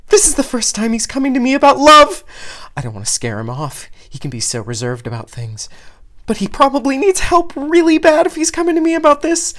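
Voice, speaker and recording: A narrator of unclear sex voicing an anxious, loving mother who gushes advice in an excitable, dramatic way in a story reading. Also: sits high, brisk, voice pushed up, full character voice. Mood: panicked. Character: anxious, loving, overeager mother of a teenage boy.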